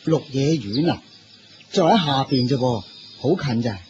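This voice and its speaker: A man's voice: mid-range voice, expressive, measured pace, natural voice. A man with a Hong Kong Cantonese accent playing an eager, respectful devotee in a radio drama. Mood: respectful, curious. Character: eager, respectful male devotee offering food. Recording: muffled radio recording, clear background noise.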